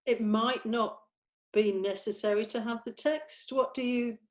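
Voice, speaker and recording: A woman's voice: fairly high pitch, fairly even intonation, measured pace. Woman questioning things in casual discussion. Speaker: participant in a script table read.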